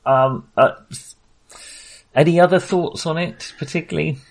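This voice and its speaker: A man's voice: on the high side, steady tone, normal speed, creaky, poor audio. A man co-hosting a film podcast in casual conversation, tentative and understated, often qualifying what he has just said.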